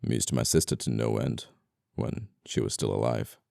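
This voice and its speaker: Casting: male actor for scoundrel murderer in ASMR roleplay, very deep and smooth, politely menacing, apologetic, flirtatious, quaint wording. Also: moderate pace, no echo, clean studio sound, heavily acted. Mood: wistful, controlled. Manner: soft-spoken politeness, condescending, old-fashioned phrasing. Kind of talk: intimate ASMR audio roleplay acting.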